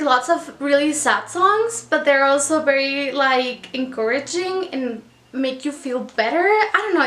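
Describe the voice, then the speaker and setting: A young Mexican woman with a Mexican accent talks through her reading in a rambling, chatty way, full of "like". Her voice sits high and sounds bright. The room around her is echoey. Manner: chatty, rambling, many "like" fillers. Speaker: book vlogger. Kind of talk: casual reading wrap-up vlog.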